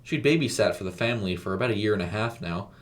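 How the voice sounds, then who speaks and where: A man reading scripted story narration in a measured, descriptive way. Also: expressive. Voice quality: low-pitched.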